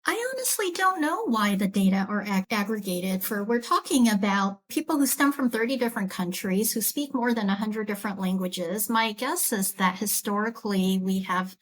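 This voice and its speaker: A woman's voice: medium pitch, expressive, moderate pace, few pauses, rough recording. A woman with a mid-range voice explains her research carefully. She sounds thoughtful, with small restarts as she works out her points. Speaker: epidemiology professor, podcast guest.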